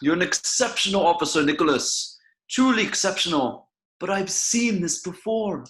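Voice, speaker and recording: A man's voice: high-pitched, animated, moderate pace, fairly bright tone, low-quality recording. A man reading a genial, patronizing police chief in a table read over a video call. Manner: soothing, patronizing.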